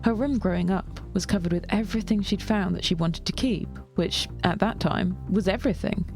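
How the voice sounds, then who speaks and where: Female narrator, scripted fiction, dry wit, measured, gravelly vocal fry, faint background music. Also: medium pitch, a little mellow.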